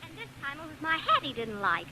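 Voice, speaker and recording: Indignant young showgirl, real high voice, old forties radio play. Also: wildly swooping pitch, a little fast, bright, forceful tone, a little hiss or hum, exaggerated voice. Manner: indignant, scrappy. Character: feisty, indignant, proud young showgirl singer. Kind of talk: 1940s radio acting. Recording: old 1940s radio recording.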